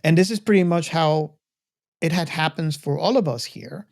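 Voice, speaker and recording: A man hosting a podcast, speaking earnestly and off the cuff to the audience, halting and repeating words. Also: on the high side, vocal fry. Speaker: podcast host. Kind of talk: casual, unscripted talk to the audience.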